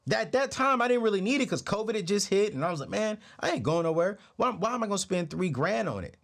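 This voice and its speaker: A man in his late thirties hosting a personal finance show, giving a motivational talk with a preachy, energetic delivery. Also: sits high, fast talker, creaky. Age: 30s. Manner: preachy, energetic, repeats phrases. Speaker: podcast host.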